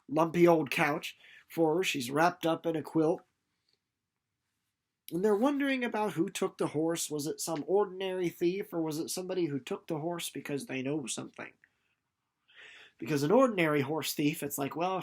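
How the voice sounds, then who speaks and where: A young man giving a casual, informal book recap, playful and rambling off into asides. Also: fairly high pitch. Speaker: book reader and presenter.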